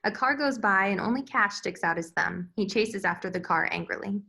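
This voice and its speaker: A woman narrates a screenplay's stage directions. Her voice is crisp-edged and bright, sitting in the middle of the female range. The delivery is scripted narration. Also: mid-range voice, animated, moderate pace.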